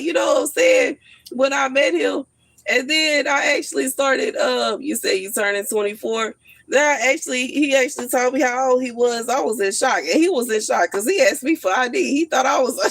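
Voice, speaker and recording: A woman in her thirties on a casual livestream, telling stories in an animated, slangy way and laughing often. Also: high-pitched, vocal fry, no room sound. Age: 30s. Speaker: livestream host.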